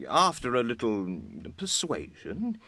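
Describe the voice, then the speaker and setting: A man playing a dutiful, wry mercenary officer in a video game, delivering a deliberate military briefing. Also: mid-range voice, huge pitch swings, sharp tone, no echo, full character voice. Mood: wry, reporting. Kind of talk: video game cutscene acting.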